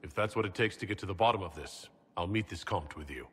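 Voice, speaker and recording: An actor playing a steady, protective leader in a video game, speaking in a measured, plain and polite way. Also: male voice, deep voice, slight background noise, lightly acted. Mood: resolute. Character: calm, steady, protective heroic male leader. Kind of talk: video game cutscene acting.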